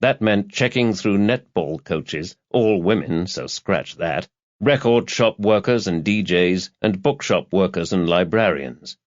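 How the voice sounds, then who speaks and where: A man's voice: sits low, expressive, a little slow, dry recording. A man narrating a crime thriller audiobook in a scripted, dramatic storytelling style. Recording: slightly dull, lo-fi audio.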